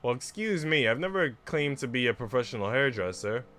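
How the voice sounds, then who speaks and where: A man's voice: mid-range voice, animated, a little fast, fairly bright tone, close to an everyday voice. A man voicing a shy, flustered young maid in a visual novel, with a bashful, stammering manner. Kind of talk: visual novel character reading.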